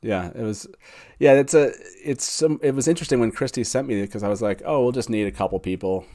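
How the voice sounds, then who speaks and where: A man's voice: medium pitch, fast talker, mellow. A man with an informal, enthusiastic manner hosting a casual fan livestream chat over a video call. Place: live online video call.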